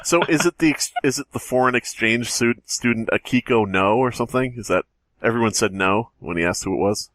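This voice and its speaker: A man's voice: mid-range voice, brisk, fairly bright tone, no room sound. A man co-hosting a comedy podcast, reading a story and bantering crudely with casual profanity. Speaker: podcast co-host. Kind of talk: casual joking group chat and story reading.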